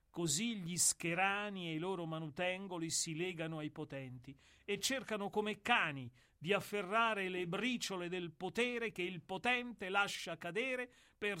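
An actor in a radio play, voicing a somber, philosophical executioner who speaks in a grave, measured and deferential way. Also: male voice, high-pitched, fairly bright tone. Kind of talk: radio drama acting.

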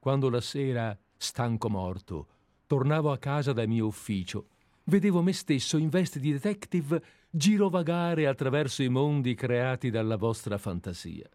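An actor in a radio play playing a meek, middle-aged former bookkeeper turned detective, deferential, wordy and formally polite. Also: male voice, mid-range voice, lively intonation, moderate pace, a little mellow, no room sound, close to an everyday voice. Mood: earnest, admiring.

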